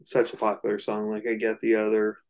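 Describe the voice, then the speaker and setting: A man co-hosting a casual discussion of music, speaking in hesitant, halting phrases. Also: on the low side, completely flat delivery, darkish tone. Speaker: music discussion podcast co-host.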